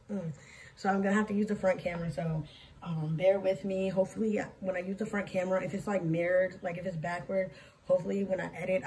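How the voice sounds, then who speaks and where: Hoarse young woman vlogging, gravelly and low. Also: warm tone, recorded from a distance, a little hiss or hum, rough recording. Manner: rambling, filler-heavy, talks with her hands. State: hoarse, losing her voice. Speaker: vlogger and daycare lead teacher. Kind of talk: casual chatty life-update vlog. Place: small room indoors. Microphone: phone camera at arm's length.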